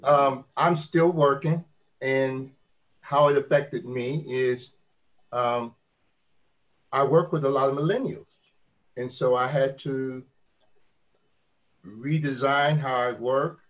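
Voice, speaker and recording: A man's voice: medium pitch, fairly even intonation, slow, long pauses, fairly bright tone. A man in his sixties, still working, speaking as a panelist on a video call from a home study in a thoughtful, folksy way. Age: 60s.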